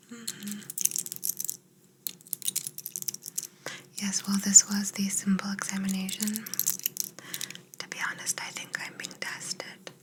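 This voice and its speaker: A woman playing a curious, teasing alien abductor who soothes a human in a soft whispered ASMR roleplay with gentle reassurances. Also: mid-range voice, natural voice. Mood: teasing, grateful.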